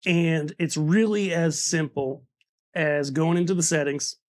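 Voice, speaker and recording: A man's voice: fairly high pitch, measured pace, darkish tone, no echo, studio quality. A man giving a casual, hands-on tutorial, chatty and informal, talking himself through each step as he goes. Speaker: presenter of audio gear tutorial. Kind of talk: casual hands-on instructional demo.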